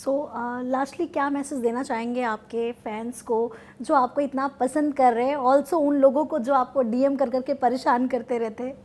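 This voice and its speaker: A woman's voice: high-pitched, fairly even intonation, moderate pace, few pauses, a little mellow, a little hiss or hum. A woman with an Indian accent asking questions in a casual entertainment interview style.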